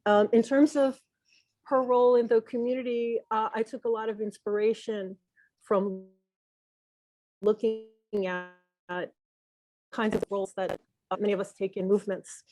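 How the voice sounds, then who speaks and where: A woman from Peru giving an earnest, reflective tribute at an online memorial, pausing and restarting as she speaks. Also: mid-range voice, steady tone, mellow, dry recording, rough recording. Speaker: journalist and consultant speaking at a memorial. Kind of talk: spoken tribute on a video call. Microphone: video call microphone.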